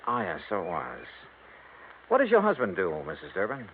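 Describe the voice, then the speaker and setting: A man's voice: sits low, wildly swooping pitch, moderate pace, slight background noise. An American man playing a shrewd, wry, hardboiled insurance investigator with clipped delivery in a radio drama. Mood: smooth, casual. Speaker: lead actor and narrator. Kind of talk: hardboiled golden age radio drama acting. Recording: muffled vintage radio recording, music behind.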